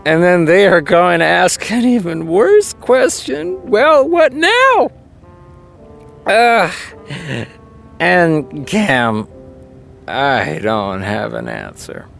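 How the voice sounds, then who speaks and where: An actor playing an anxious young male writer who talks in a halting, wry, low-spirited way. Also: very animated, gravelly, a bit bright, faint music behind, exaggerated voice. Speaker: actor in audio drama. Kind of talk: audio drama acting.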